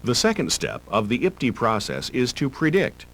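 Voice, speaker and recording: Male narrator for a driver-training video: measured, instructive, varied intonation, faint tape hum underneath. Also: mid-range voice, wildly swooping pitch, creaky, a bit bright. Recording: hum and hiss of old tape.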